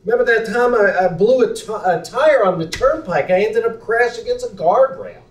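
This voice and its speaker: A man's voice: sits high, animated, normal speed. A young man on a small theater stage playing a skeptical, blunt best friend with a sentimental side, talking in a dry, sardonic way. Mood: heartfelt. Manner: dry, sardonic, then heartfelt storytelling. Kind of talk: live stage acting. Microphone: far room mic. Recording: roomy theater sound from far off.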